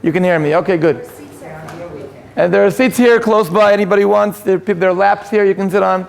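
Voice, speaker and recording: High, gravelly Israeli man lecturing. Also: steady tone, fairly quick. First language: Hebrew. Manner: self-deprecating, joking asides, frequent restarts and fillers. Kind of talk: informal lecture to an audience. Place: community hall with live audience.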